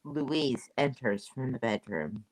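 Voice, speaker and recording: A woman's voice: very deep voice, slow pace, a little mellow, poor audio. A woman reading stage directions as scripted narration.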